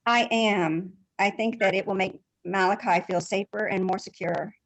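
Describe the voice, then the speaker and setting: A woman's voice: medium pitch, fairly even intonation, moderate pace. A woman testifying as a grandmother seeking custody over a video call, earnest and emotional in her answers. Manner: earnest and emotional, with short affirmatives.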